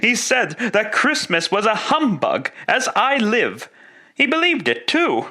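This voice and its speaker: A man playing a jovial, good-natured young gentleman with a hearty, animated manner in a classic radio play. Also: high-pitched, unhurried, pitched above the performer's own voice, exaggerated voice. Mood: amused, pitying. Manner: hearty, animated, chuckling cheerfulness. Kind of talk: classic radio drama acting.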